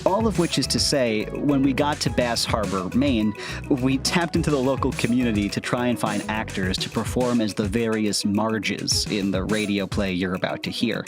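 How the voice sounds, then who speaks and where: A man's voice: on the low side, moderate pace. A man hosting a podcast with scripted but conversational narration. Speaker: podcast host and writer. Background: music with guitar.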